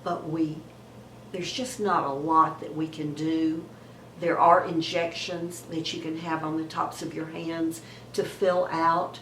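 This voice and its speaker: A hoarse woman in her seventies chats slowly and conversationally, as if to friends, circling back over her own words. Her voice sits a little low. The room echoes and a faint hum runs beneath it. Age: 70s. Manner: warm, chatty, repeats words and trails off. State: hoarse from a cold. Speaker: beauty vlogger. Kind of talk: chatty beauty advice to viewers.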